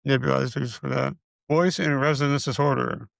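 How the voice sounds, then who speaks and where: A middle-aged man with a raspy voice, giving a casual update on his health. He stutters and repeats syllables, and he is short of breath as he struggles to get words out. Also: mid-range voice, steady tone, normal speed, no room sound, clean studio sound. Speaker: video creator sharing his illness progress.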